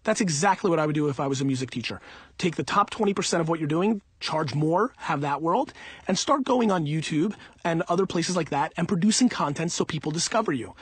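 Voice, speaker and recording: A man's voice: on the high side, breathy edge, no room sound. A man of about forty giving blunt, emphatic, informal advice in an off-the-cuff monologue. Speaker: audiobook author answering listener questions.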